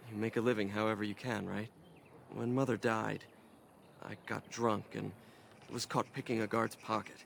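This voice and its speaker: An actor playing a humble, earnest young city elf in conversational video game dialogue. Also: male voice, on the low side, little pitch movement, moderate pace, gravelly, slight background noise. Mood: rueful, sincere. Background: game music.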